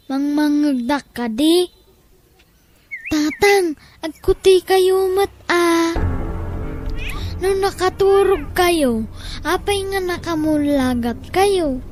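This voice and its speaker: Woman acting a high, slow, earnest Ilocano child. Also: a little mellow. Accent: Ilocano Filipino. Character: dutiful, innocent young grandchild looking after sick parents. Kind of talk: Ilocano radio-style audio drama acting.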